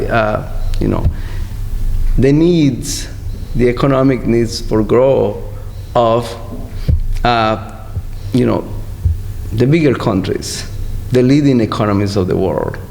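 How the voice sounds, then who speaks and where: Gravelly man in an echoey hall. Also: lowish voice, darkish tone. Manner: halting, repeats words, searching. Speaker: interviewed guest, poet. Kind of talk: interview talk and poem reading. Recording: echoey hall, faint background noise.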